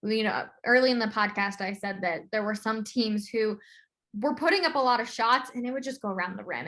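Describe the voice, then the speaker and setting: A woman's voice: medium pitch, a bit bright. A woman with an American accent discussing games casually as co-host of a sports podcast.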